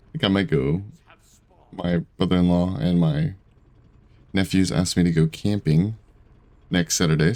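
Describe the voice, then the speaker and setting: A man in his twenties giving casual, chatty gameplay commentary from his room, self-deprecating and quick to laugh. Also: low-pitched, darkish tone. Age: 20s. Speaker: gaming streamer. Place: home room at a desk.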